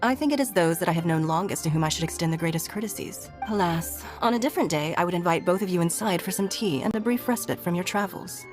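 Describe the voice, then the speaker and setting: A woman's voice: fairly low pitch, expressive, quick pace, few pauses, natural voice. A woman playing an elegant, courteous stateswoman in a video game, formal, poised and gracious. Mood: poised, businesslike. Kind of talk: video game character acting. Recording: soft music behind the voice.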